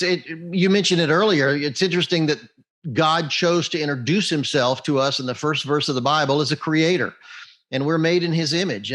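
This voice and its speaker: A man's voice: fairly high pitch, fairly bright tone. A man hosting a conversational interview, encouraging his guest and rephrasing as he goes. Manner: encouraging, rephrases and restarts questions. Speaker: interview host.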